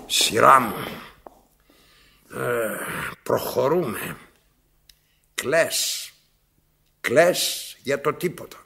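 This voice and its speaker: A raspy, imperious old blind tyrant. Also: male voice, medium pitch, lively intonation, bright, forceful tone, heavily acted. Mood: weary, brooding. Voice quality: raspy, gravelly. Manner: imperious, dramatic, pausing and repeating phrases. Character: domineering, self-pitying old blind man in an armchair. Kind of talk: theatrical radio drama acting.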